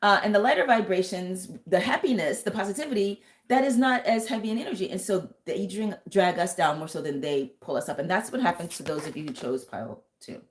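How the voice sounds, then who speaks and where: A woman with a thick, lower voice giving a live tarot reading and talking straight to her viewers with earnest, intuitive warmth. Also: brisk, hardly any pauses. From United States. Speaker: tarot reader and vocal coach.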